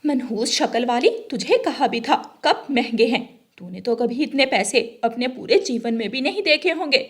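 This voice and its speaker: A woman's voice: a little high, animated, normal speed, slightly rough. A woman voicing a rich, cruel female bird employer, bossy, scolding and contemptuous, in a cartoon story. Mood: angry.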